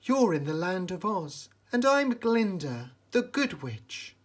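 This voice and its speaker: A man's voice: high voice, very animated, slow, pitched above the performer's own voice, full character voice. A man voicing a kindly, genteel good witch lady in a children's storybook. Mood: reassuring.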